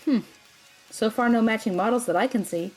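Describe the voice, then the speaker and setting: A woman acting a tech-savvy, cautious and bossy young student mage in a fantasy comedy audio drama, with a dry, exasperated, brisk manner. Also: a little high, darkish tone, faint music behind, natural voice, poor audio. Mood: focused, cautious.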